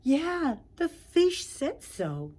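Tiny childlike pollywog, squeaky and wide-eyed, woman reader, storybook piece. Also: very animated, extremely slow, darkish tone, full character voice. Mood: excited. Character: small excitable pollywog, childlike and wondering.

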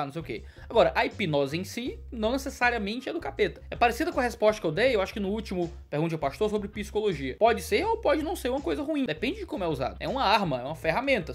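A man in his twenties, a pastor, answering viewer questions in a quick, explanatory way from a study lined with bookshelves. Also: high voice, husky. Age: 20s. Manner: fast, explanatory, gestures with hands.

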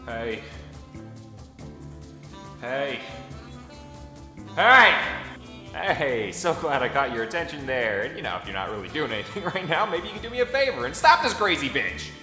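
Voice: medium pitch, big pitch swings, moderate pace, long pauses, bright, forceful tone, faint music behind. An actor voicing an exasperated, foul-mouthed little magical creature in an anime parody, sarcastic and put-upon. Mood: panicked. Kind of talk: abridged anime parody voice acting.

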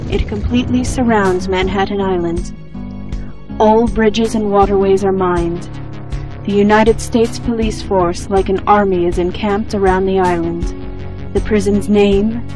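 Grave woman narrator over music. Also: medium pitch. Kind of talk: scripted dramatic audio drama narration.

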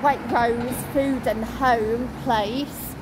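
A woman in her thirties films herself on a phone at arm's length as she strolls through town, pausing often on ums and uhs. Her voice sits a little high and she takes her time. Passing traffic and street noise are clearly heard behind her. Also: fairly even intonation. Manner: halting, full of ums and uhs, pointing things out. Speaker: travel vlogger. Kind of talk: walk-and-talk vlog narration. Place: outdoors on city streets. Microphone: phone camera held at arm's length. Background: traffic and street ambience.

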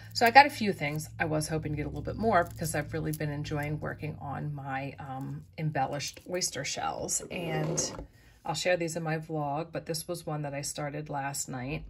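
A middle-aged woman chatting easily through her thrift and flea market finds, with soft asides. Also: deep voice, vocal fry, slight background noise. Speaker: vlogger showing thrift finds. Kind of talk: casual show-and-tell narration. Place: indoors at a table, close-up of hands.

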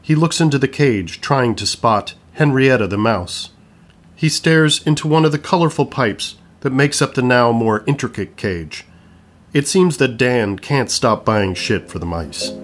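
A man's voice: mid-range voice, expressive, measured pace. A man reading scripted narration for an audio play, describing action in stage-direction style.

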